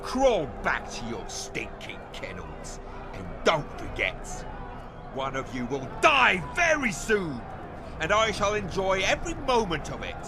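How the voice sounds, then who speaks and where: Slow-talking, gloating pit-fight villain. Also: male voice, sits high, huge pitch swings, over music, exaggerated voice. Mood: mocking menace. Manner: menacing, taunting, theatrical. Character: cruel gloating male master of a fighting pit. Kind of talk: video game fantasy voice acting.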